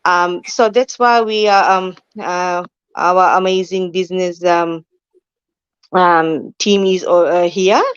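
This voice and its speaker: A woman hosts a live online talk show in a hesitant, halting manner. Her voice is smooth and dry, with a dull, lo-fi sound from an online call. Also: lowish voice, fairly even intonation, no room sound.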